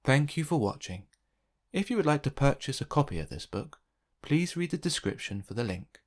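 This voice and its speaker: A man reads a children's storybook aloud in a medium-pitched voice. His delivery is expressive narration, made for young listeners. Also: moderate pace. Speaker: children's book reader.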